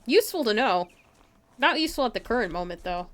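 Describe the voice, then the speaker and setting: A woman giving excitable live gameplay commentary, talking to herself and to her viewers. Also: a little high, quick pace. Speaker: game streamer. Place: indoors, streaming at a computer.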